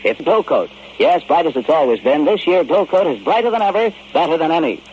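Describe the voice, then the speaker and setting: A man's voice: a little high, very animated, brisk, darkish tone, dull audio, a little hiss or hum. A man reading a scripted vintage radio commercial as the announcer.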